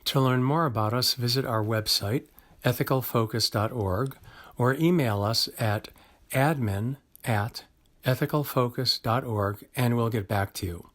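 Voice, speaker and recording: A man's voice: mid-range voice, measured pace, a bit bright. Man reading a scripted announcement. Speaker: announcer for an organization.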